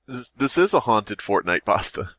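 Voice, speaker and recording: A man's voice: fairly low pitch, very animated, normal speed, fairly bright tone, dry recording. A man hosting a podcast, mixing casual comedic chat with reading horror stories aloud, joking, swearing and stumbling over words. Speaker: podcast host.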